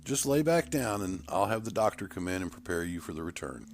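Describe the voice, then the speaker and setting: A man voicing a brisk, authoritative male city leader in a story, condescending yet courteous. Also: sits low, sing-song, slight background noise, voice pushed up. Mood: pleased, firm. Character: brisk, authoritative elder brother running an underground city.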